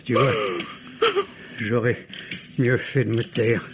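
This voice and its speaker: An actor playing an anxious middle-aged professor and worried father in an old radio mystery play, halting and repeating his words. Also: mid-range voice, level tone. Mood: evasive, worried. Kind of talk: old French radio drama acting. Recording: dull lo-fi vintage radio, clear hiss.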